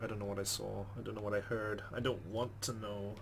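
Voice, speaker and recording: A man's voice: on the low side, level tone, fairly quick, fairly bright tone. A man reading a visual novel aloud as a let's play host, with casual asides. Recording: clear game music behind.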